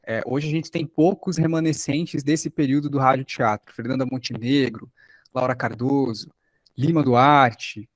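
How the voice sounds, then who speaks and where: A man's voice: medium pitch, steady tone, moderate pace, no room sound. A Brazilian man explaining things in a class discussion, a little hesitant, like a workshop teacher.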